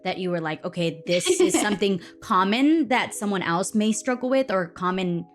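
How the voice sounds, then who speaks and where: A woman's voice: medium pitch, animated, normal speed. Young woman podcast host, chatty and upbeat, faint music underneath. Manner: rambling, upbeat questions full of "like". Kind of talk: casual podcast interview.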